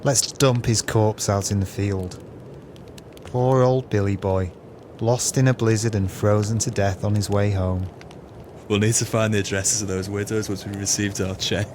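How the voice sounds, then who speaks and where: A man's voice: lowish voice, fairly even intonation, moderate pace, a little mellow, slight background noise, lightly acted. An actor playing a cold, contemptuous, scheming male swindler in an audio play. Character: callous, scheming male swindler, feigning kindness to dying sailor.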